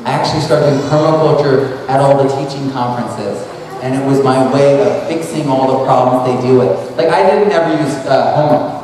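A man's voice: mid-range voice, a little fast, background noise. A man in his thirties giving a casual, rambling conference talk on a handheld mic, full of "like" and restarts. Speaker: speaker. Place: large hall at an expo. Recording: echoey hall recorded from far off.

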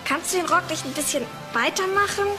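A woman's voice: extremely high pitch, brisk, over music. An actress playing a spoiled, bossy young female film star, whiny and haughty, in a German children's drama. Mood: petulant.

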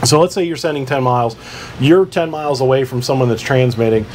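A man's voice: medium pitch, fairly quick. A man giving an informal instructional explanation as a presenter, plain-spoken and working through things step by step. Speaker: instructor presenting a boat radio guide. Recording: clear background ambient noise.

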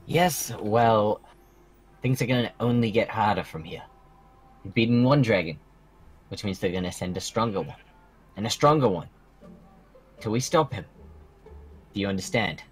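A man's voice: lowish voice, animated, moderate pace, pauses often, natural voice, poor audio. A man voicing a stern, protective dragon guardian who instructs young fighters solemnly and formally in a Minecraft roleplay. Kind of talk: improvised Minecraft fantasy roleplay. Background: music.

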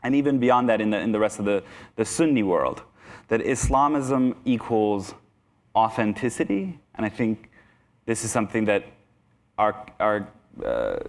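A man's voice: on the low side, animated, moderate pace. A young man from Iran giving a conversational lecture to teachers in a conference hall, speaking into a lapel mic with many fillers and restarts. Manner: conversational, self-deprecating, frequent restarts and fillers. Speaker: guest speaker. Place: conference hall, indoors, large.

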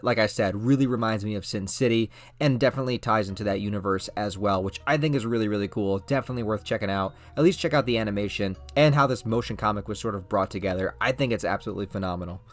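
A man's voice: fairly low pitch, quick pace, few pauses, soft background music. A man giving a scripted promotional narration as a video host. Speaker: YouTube host presenting a project.

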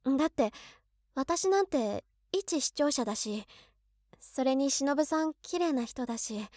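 A young office worker played by a woman in a voice drama, excitable and hopelessly devoted to her idol. Her voice is high and girlish, with unhurried pacing. She muses aloud expressively, very close and dry. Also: dry recording. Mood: shy, lovestruck. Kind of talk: Japanese situation voice drama monologue.

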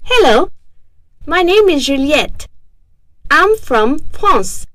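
A woman reading a scripted self-introduction for a language lesson, slow and carefully enunciated. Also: high voice, very animated, fairly bright tone, dry recording. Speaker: dialogue reader.

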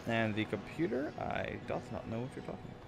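A man's voice: medium pitch, wildly swooping pitch, fast. A man giving casual, playful video game commentary with jokey, theatrical asides. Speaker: gamer, let's play commentator. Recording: clear game noise behind voice. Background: video game sounds.

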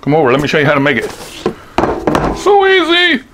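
A man's voice: a little high, wildly swooping pitch, brisk, background noise. A man in his sixties giving a chatty, upbeat cooking demonstration in his home kitchen, chuckling often, on a lapel mic. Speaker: cooking vlogger. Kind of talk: casual cooking demo. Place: home kitchen, then pickup truck.

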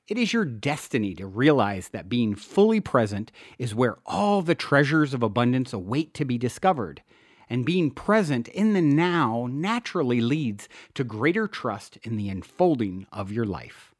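A man reading a self-help book aloud as scripted narration, with emphatic, deliberate phrasing and drawn-out key words. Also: mid-range voice, no room sound, clean studio sound. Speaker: podcast host and author reading own book. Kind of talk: scripted audiobook-style narration.

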